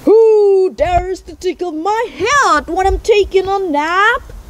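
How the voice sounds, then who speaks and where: A woman's voice: very high-pitched, animated, slow pace, a little hiss or hum, voice pushed up, exaggerated voice. A woman with an Indonesian accent voicing a proud, menacing male lion king in a children's story, boastful and emphatic. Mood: angry, threatening.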